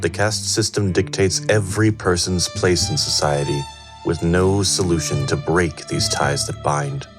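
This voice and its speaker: Very deep, solemn fantasy narrator. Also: male voice. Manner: measured, solemn. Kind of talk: scripted narration. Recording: music behind the narration.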